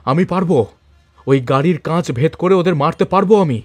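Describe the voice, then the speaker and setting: A man acts a hired sniper in an audio thriller. His voice sits a bit high, terse and intense, with music playing underneath. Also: fairly quick, lightly acted. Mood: determined.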